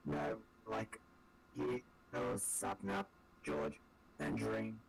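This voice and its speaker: A man's voice: on the low side, huge pitch swings, takes it slowly, gravelly. A man chatting casually and teasingly over a noisy voice chat.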